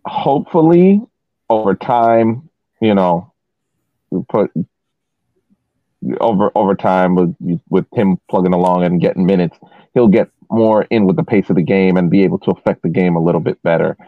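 Low-voiced man on a phone-like line. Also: normal speed, dry recording. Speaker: sports podcast co-host. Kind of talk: casual sports analysis.

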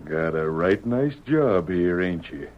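An actor playing a smug, menacing outlaw blackmailer with a sly, taunting American Western drawl in a radio western. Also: male voice, sits low, animated, slow, slight background noise. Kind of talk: old-time radio western acting. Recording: dull old radio recording.

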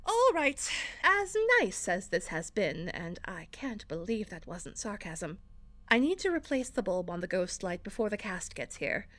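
A woman playing a brisk theatre director in an audio drama, with a dry, businesslike manner. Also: mid-range voice, sing-song, a bit bright. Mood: businesslike, friendly. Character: brisk, wry theatre director running rehearsals.

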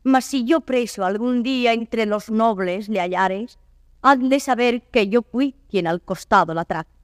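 Guarded young foreigner played by a woman, solemn classical verse, slightly high voice, dry sound. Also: unhurried, no echo, close to an everyday voice. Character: young foreign captive in male disguise, proud, secretive. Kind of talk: classical Spanish verse drama, declaimed.

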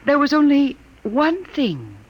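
Gentle, wistful android wife, lively intonation. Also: female voice, high-pitched, slow, muffled recording, heavily acted. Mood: wistful, tender. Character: gentle, ageless android wife and mother, quietly grieving. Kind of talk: classic radio drama acting.

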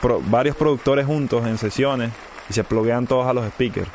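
A young adult man with a Venezuelan Spanish accent, a music producer speaking as a podcast guest in a relaxed, halting way, with frequent restarts and English words mixed in. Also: medium pitch, some chatter behind, slight background noise. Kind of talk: casual podcast conversation.

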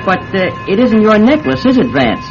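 Folksy, confident policeman, lively pitch. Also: normal speed, music in the background. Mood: smugly authoritative. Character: breezy male con man posing as policeman. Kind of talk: radio drama acting. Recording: dull, noisy old radio recording.

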